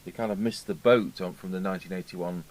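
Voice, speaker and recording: A middle-aged British man giving an informal, unscripted hands-on demonstration, musing aloud with hesitant pauses. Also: deep voice, fairly even intonation, a little mellow. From United Kingdom. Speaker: radio repair technician. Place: indoor radio repair workbench. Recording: clearly noisy home workshop recording.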